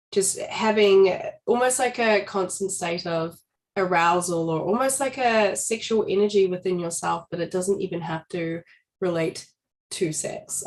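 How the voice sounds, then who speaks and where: Thoughtful woman co-host. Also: lowish voice. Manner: thoughtful, searching for words. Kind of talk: casual conversational chat.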